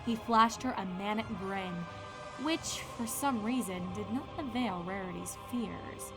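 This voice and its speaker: Bright-voiced woman narrating a story. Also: mid-range voice, measured pace, poor audio. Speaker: narrator of a fan fiction reading. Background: music.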